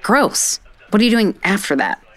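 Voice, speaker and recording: A woman's voice: mid-range voice, very animated, moderate pace. An actress playing a blunt, sarcastic, self-deprecating woman writer in a naturalistic romantic comedy audio drama. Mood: dry, teasing.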